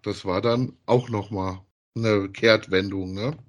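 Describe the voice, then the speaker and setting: A man's voice: lowish voice, steady tone, slow pace. A man co-hosting a casual review podcast, retelling a story in an easygoing, colloquial way. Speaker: podcast co-host. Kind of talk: casual review chat about an audio drama.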